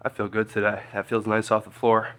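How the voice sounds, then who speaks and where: A congested man, a strength coach, chatting casually through a training vlog and thinking out loud. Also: on the low side, monotone, fairly quick, heavy background noise. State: congested, stuffy nose.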